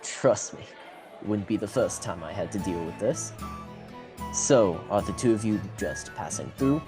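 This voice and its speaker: A man's voice: lowish voice, animated, normal speed, lightly acted. A teenage male actor playing a friendly, protective tavern keeper in a student fantasy radio drama, with music behind. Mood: hospitable. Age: teens. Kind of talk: student radio theatre acting.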